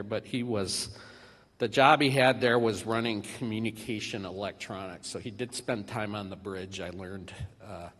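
A middle-aged man giving a eulogy for his father at a church podium, speaking haltingly with many fillers and restarts. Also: fairly low pitch, fairly bright tone. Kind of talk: memorial eulogy. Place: large church sanctuary. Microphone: podium microphone. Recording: reverberant church hall.

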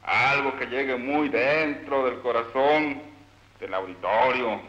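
A man's voice: mid-range voice, slow pace, pushed and bright. Cartoon satire: male actor as scheming, pompous Mexican party boss, mid-range voice, dull vintage film audio. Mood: grandiloquent. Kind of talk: satirical animated film dialogue. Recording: dull old film soundtrack.

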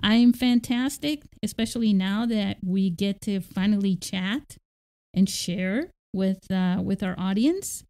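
A woman's voice: medium pitch, unhurried, darkish tone. A woman hosting a podcast interview, enthusiastic and often restarting her sentences. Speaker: podcast host. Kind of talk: casual interview chat.

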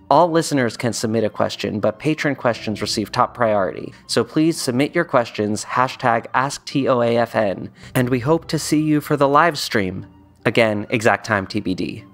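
A man with a mid-pitched voice delivers scripted podcast announcements. Light music plays behind him as he addresses listeners. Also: a bit bright.

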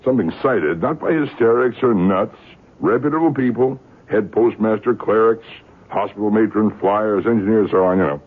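A man plays a gruff older male editor in a vintage radio play. The voice is low and husky, with brusque authority, and the broadcast sounds thin and boxy. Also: moderate pace, narrow, tinny audio, natural voice. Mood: brisk, authoritative. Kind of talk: 1970s radio drama acting.

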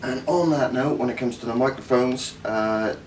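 A man's voice: mid-range voice, moderate pace. Cardiff Welsh man, enthusiastic, distant mic. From Wales. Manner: enthusiastic, rambling with fillers, gesturing. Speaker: tech reviewer. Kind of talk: casual product review. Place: home living room. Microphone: camera mic from a few metres. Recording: distant camera mic, faint room noise.

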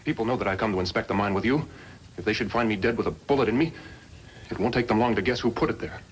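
An actor playing an earnest, plucky young mine heir in a 1950s western radio drama, on a noisy old recording. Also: male voice, lowish voice, fast, natural voice. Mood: indignant.

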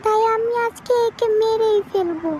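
A shrill female cartoon ghost, acted out by a woman, wary and out for revenge. She speaks extremely high, in drawn-out dramatic phrases, over faint noise. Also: dark tone. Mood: vengeful.

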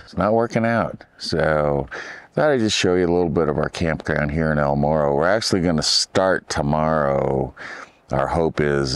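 An older man with a raspy voice narrating a hike outdoors for his travel vlog, low-key and rambling as he thinks aloud. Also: dry recording. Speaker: travel vlogger. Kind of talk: casual hiking narration. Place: outdoors on a desert trail. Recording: music bed under the voice.

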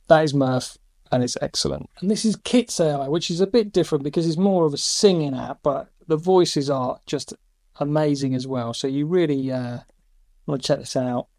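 A middle-aged man hosting a casual screen-recorded review, enthusiastic, with quick asides between demos. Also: a little high, darkish tone, dry recording. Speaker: YouTube host reviewing AI voice tools.

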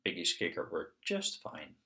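A man hosting a story time, reading aloud to young children in an expressive, singsong way. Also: deep voice, a little slow. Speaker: children's story narrator and channel host.

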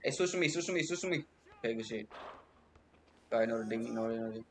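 A young man from Texas streaming a basketball video game on a headset mic, trash-talking loudly with lots of profanity. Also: medium pitch, lively intonation, fast, a little hiss or hum. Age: young adult. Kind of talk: live gameplay commentary. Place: indoor room at home.

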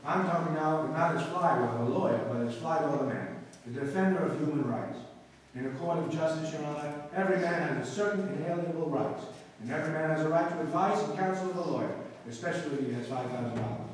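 A man playing a brash, cynical shyster lawyer with quick wisecracking patter in a live stage recreation of a 1930s radio comedy. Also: a little high, slight background noise, close to an everyday voice. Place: community hall stage with live audience. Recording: echoey hall from far off.

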